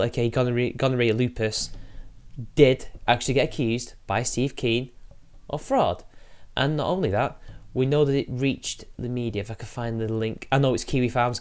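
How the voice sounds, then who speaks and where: A man's voice: mid-range voice, moderate pace, clear, resonant tone. Rambling man streaming. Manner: rambling, talks to chat while fiddling with software. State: sniffly from allergies. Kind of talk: casual live-stream commentary.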